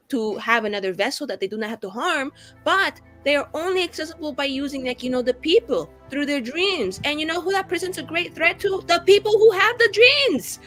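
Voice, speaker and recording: Woman playing a sassy, high-voiced young companion. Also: fairly bright tone. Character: vain, worried, sassy young woman debating her companion.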